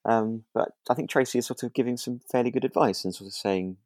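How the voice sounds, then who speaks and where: A man's voice: medium pitch, fast talker, a little mellow, no echo, clean studio sound. A man co-hosting a casual podcast discussion, speaking in a hesitant, rambling, tentative way. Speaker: podcast co-host. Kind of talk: casual discussion of a radio drama.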